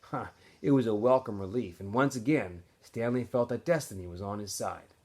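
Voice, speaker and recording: A man narrating a novel aloud in an expressive storytelling style, as if for students. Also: lowish voice, normal speed. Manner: animated storyteller. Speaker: teacher or school staff reading aloud to students.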